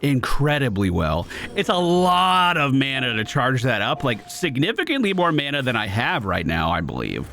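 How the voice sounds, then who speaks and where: A man's voice: medium pitch, wildly swooping pitch, normal speed, hardly any pauses, pushed and bright, slight background noise. A man giving casual video game commentary, playfully thinking aloud and repeating his phrases. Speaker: gaming video host.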